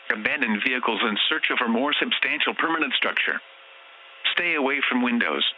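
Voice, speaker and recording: A man's synthetic voice reading a scripted emergency weather warning in a formal, measured way, as an automated alert announcer. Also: mid-range voice. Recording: muffled lo-fi broadcast, clear background noise.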